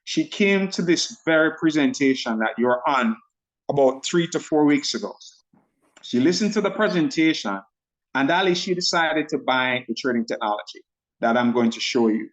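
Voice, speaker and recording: A man with a Jamaican accent blended with American and Nigerian tones, presenting a trading system to a group on a video call, talking fast and excitedly. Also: mid-range voice, poor audio. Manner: excited, speaks fast and runs over words.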